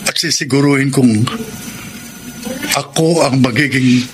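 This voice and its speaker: A man acts out a lovelorn, forgiving young groom in a Filipino-accented radio drama. His medium-pitched voice is earnest and emphatic, stretching words for drama. Clear noise sits behind him on the recording. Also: measured pace, close to an everyday voice. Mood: wounded devotion. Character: devoted, forgiving young groom, wounded and pleading. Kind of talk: Tagalog radio drama acting.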